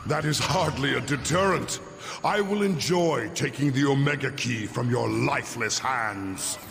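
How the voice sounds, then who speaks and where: Gravelly tyrannical robot warlord. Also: male voice, medium pitch, a little slow, a bit bright, faint music behind. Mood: mocking. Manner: imperious, menacing. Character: tyrannical, power-hungry robot warlord, commanding and menacing. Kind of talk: video game cutscene acting.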